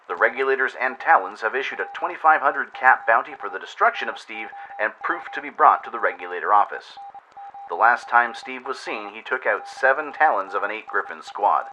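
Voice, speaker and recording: Man as a wry, bright wasteland radio announcer. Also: medium pitch, animated, a little fast, dull audio, slight background noise. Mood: wry warning. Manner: wry, advisory. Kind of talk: public service announcement.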